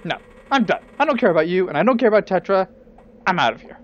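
A man's voice: sits high, big pitch swings, quick pace, dull audio. A man voicing a greedy, cowardly, bossy sea captain in a cartoon parody, whiny and blustering.

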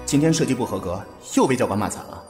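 A man's voice: mid-range voice, expressive, quick pace, darkish tone, roomy sound, big-room sound, faint music behind, close to an everyday voice. An actor playing a weary, wistful young army cadet who sighs as he talks in an audio drama. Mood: weary, downcast. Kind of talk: Chinese audio drama acting.

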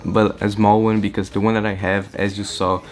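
Deep-voiced teen boy, Portuguese native. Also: brisk. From Brazil. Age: teens. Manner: excited, rambling, restarts often. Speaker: vlogger. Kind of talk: casual vlog update. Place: small bedroom, indoors. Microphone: camera-mounted shotgun mic.

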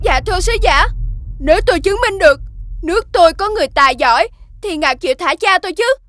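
Very high-pitched, polite child. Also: female voice, lively intonation, fast, fairly bright tone, no room sound. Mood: earnest, determined. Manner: respectful, earnest. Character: clever, polite, brave young child subject. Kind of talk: animated fairy-tale voice acting.

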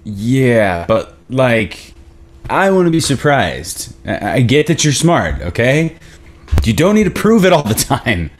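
A man's voice: medium pitch, sing-song, takes it slowly, a little hiss or hum. A man in his thirties acting a laid-back, wry but defensive boyfriend in a naturalistic table read on a video call.

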